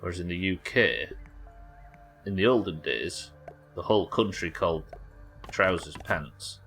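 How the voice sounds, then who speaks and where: A man's voice: deep voice, a little slow, a bit bright, soft background music. A young British man hosting a live gaming stream, casually rambling and reading chat messages aloud as he plays. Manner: rambling, reads chat messages aloud and muses over them. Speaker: streamer host.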